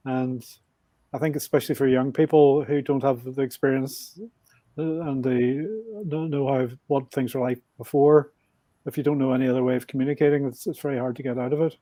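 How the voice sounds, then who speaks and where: A man in his sixties with a Belfast Northern Irish accent, a podcast guest reflecting on things in a measured, thinking-aloud way. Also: medium pitch, flat delivery, dark tone, no room sound. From Northern Ireland. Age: 60s. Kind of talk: casual interview talk.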